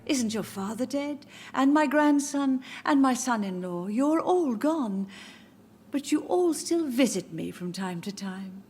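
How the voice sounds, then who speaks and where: An older woman playing a grieving, confused elderly mother in a video game, wistful, drifting and gently reproachful. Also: sits high, expressive, unhurried, noisy background. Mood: sad, insistent. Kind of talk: video game dialogue acting.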